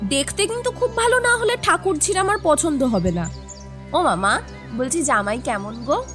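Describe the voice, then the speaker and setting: A woman's voice: high-pitched, lively intonation, normal speed, a bit bright, faint music behind. A woman playing a playful, practical young daughter-in-law in an audio drama, with a teasing manner.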